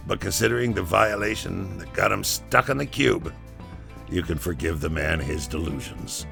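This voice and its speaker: A wry, rough-edged rebel storyteller, speaks low with a very heavy creak. He is foul-mouthed and sardonic, spinning a first-person audio drama tale in a chatty yet theatrical manner. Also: normal speed, fairly bright tone, faint music behind, exaggerated voice. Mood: sardonic, amused. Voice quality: gravelly, low.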